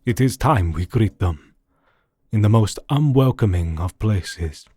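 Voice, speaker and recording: An actor playing a grave older doctor and mentor in an audio drama, speaking formally and deliberately. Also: male voice, on the low side, expressive, a little mellow, slightly rough, no echo, clean studio sound. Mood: solemn, instructive. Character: grave older male doctor and mentor, solemn vampire hunter.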